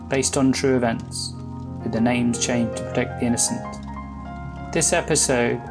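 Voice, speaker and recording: A man hosting a podcast, reading scripted narration in a measured, formal way. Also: mid-range voice, creaky. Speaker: podcast host. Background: prominent guitar music.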